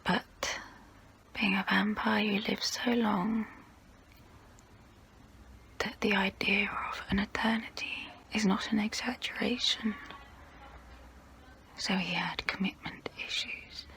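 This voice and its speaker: Woman acting as centuries-old female vampire, breathy whisper, unhurried pace, intimate ASMR roleplay, guilty, wistful, tempting. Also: mid-range voice, fairly even intonation, fairly bright tone. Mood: melancholy. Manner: slow, intimate, deliberate delivery.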